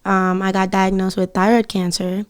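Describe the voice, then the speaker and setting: Smooth-voiced young woman from Puerto Rico. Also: medium pitch, moderate pace. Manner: relaxed, candid, uses slang and fillers. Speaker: singer, podcast guest. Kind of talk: casual interview chat.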